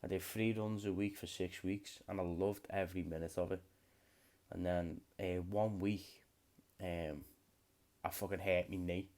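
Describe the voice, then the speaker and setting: A man's voice: sits low, steady tone, a little slow, a little mellow. A man in his late twenties, hosting a podcast alone in a rambling, swear-heavy casual chat. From United Kingdom. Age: late 20s. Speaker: podcast host.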